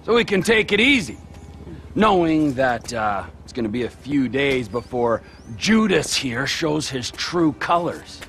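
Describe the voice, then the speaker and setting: An actor playing a volatile, sarcastic middle-aged armed robber in a video game cutscene, mocking and profane. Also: male voice, mid-range voice, very animated, a little slow, fairly bright tone, slight background noise. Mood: sardonic.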